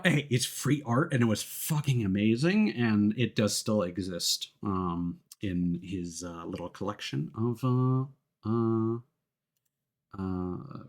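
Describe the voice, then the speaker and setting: A man chatting casually to viewers on a livestream in an easygoing, rambling way. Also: on the low side. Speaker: VTuber livestreamer. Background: music.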